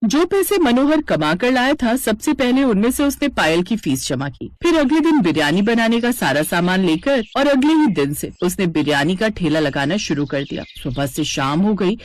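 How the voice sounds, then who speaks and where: A woman's voice: mid-range voice, dry recording. Woman telling a story, scripted narration, voice moves up and down a lot.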